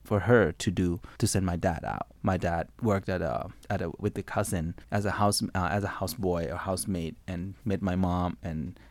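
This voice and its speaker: This is a man in his forties, born and raised in Thailand, speaking English as a second language. His voice sits low, and he talks through his life story in a hesitant way, with many restarts and fillers. The recording is dry and close. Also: fairly even intonation, normal speed, few pauses, no room sound. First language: Thai. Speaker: interview guest. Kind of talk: conversational life story interview.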